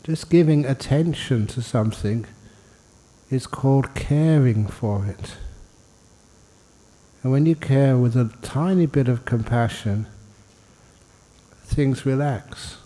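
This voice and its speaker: A man leading a guided meditation as a monk and teacher, speaking informally in short, unhurried phrases with pauses and gentle humour. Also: mid-range voice, long reverb tail, rough recording. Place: indoor meditation hall.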